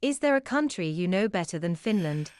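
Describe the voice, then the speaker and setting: Woman chatting with animated intonation. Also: lowish voice, fairly quick, smooth, no echo, clean studio sound. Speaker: conversation partner asking questions, fellow expatriate. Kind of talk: casual chat.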